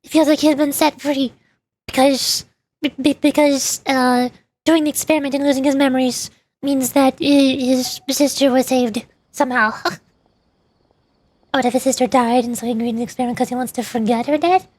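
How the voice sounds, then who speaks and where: A woman's voice: high voice, steady tone, moderate pace, a little mellow. A woman streaming a game, giving casual, rambling commentary as she thinks aloud. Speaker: streamer, VTuber.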